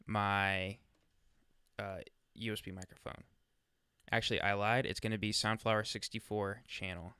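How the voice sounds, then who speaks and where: A man presenting a casual software walkthrough, chatting informally and thinking aloud as he demonstrates, speaking into a close USB microphone. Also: fairly low pitch, clean tone, dry recording. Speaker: tutorial presenter.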